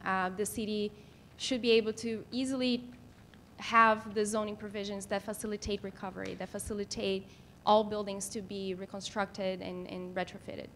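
A woman in her thirties speaks at a podium in a meeting room, explaining slides in a formal but slightly halting way. Her voice sits at a medium pitch. There is some low rumbling noise behind her. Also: fairly bright tone. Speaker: city planner presenting. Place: meeting room in a civic building. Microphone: podium microphone.

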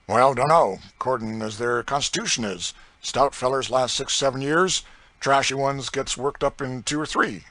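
A man voicing a brutal, boastful planter with a rural Southern accent, loud and swaggering. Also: medium pitch, normal speed, voice pushed up, natural voice. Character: brutal boastful male slave-driving planter.

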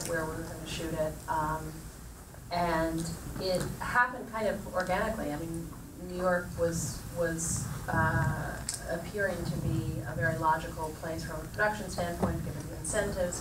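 A woman with a fairly low, even voice speaks into a handheld mic on a theater stage, reflective and full of fillers. The room echoes, the mic sits at a distance, and noise runs under her. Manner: warm, reflective, many fillers. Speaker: film producer. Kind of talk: panel Q&A answers.

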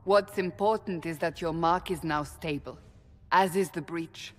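A woman's voice: fairly low pitch, measured pace, in a large hall, natural voice. An actress playing a stern female warrior leader who speaks in a firm, clipped, matter-of-fact way.

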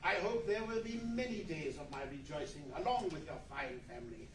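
A man's voice: on the high side, lively intonation, a little fast, natural voice. A man acting a reformed old miser in a 1940s-style radio play, effusive, generous and repentant in a theatrical manner. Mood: tender, repentant. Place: large indoor meeting room, live stage reading. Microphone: far camera. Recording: echoey meeting room from far off, noisy.

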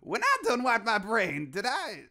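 Nutty old hillbilly inventor for a cartoon, super gravelly, sharp n excitable. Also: male voice, very high voice, measured pace, exaggerated voice. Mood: excitable, awkward. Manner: folksy, erratic. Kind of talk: cartoon character acting.

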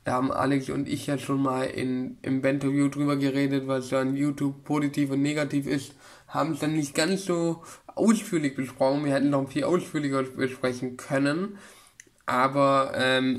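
A young man in his early twenties talks casually into a desk webcam in a small home room. He rambles along with frequent fillers and restarts, in a mid-pitched voice. His intonation stays quite flat and even. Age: about 21. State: getting over a cold. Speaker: vlogger. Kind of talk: casual chat. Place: small room with bookshelf, indoors. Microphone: webcam at desk, close.

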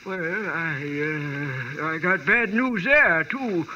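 An actor playing a bumbling, apologetic old rustic, stammering and folksy in 1940s radio style. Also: male voice, fairly high pitch, extremely slow, pushed and bright, lo-fi sound, slight background noise, exaggerated voice. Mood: flustered, apologetic. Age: older.

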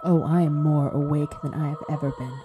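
A woman's voice: low-pitched, steady tone, a little fast, over music, close to an everyday voice. A woman playing a bitter, intense, commanding captive, kept alive without a body, in an audio horror drama. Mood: vicious urging.

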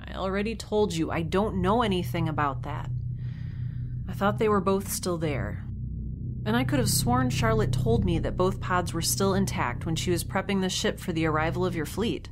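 A woman's voice: on the low side, normal speed, noisy background, lightly acted. An actress playing a sarcastic young woman stranded in space, recording an audio log. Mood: defensive. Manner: wry, sarcastic. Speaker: narrator of her audio log. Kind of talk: audio drama acting.

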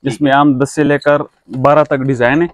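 A man's voice: mid-range voice, little pitch movement, normal speed, no room sound, clean studio sound. A man with a Pakistani accent who runs a sewing machine shop, patiently explaining machines step by step in a casual demonstration. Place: shop full of machines, indoors.

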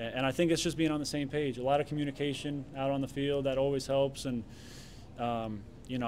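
A man in his thirties, a goalkeeper answering reporters' questions at a press conference in a relaxed way, with many fillers and restarts. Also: medium pitch, slight background noise. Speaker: soccer goalkeeper. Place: stadium press room.

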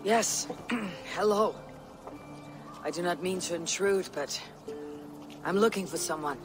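A proud viking fighter lady for a game, low husky voice, clipped n dry, music behind. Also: slow. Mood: polite, hesitant. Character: stoic, proud female Viking warrior, wry and probing. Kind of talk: video game cutscene acting.

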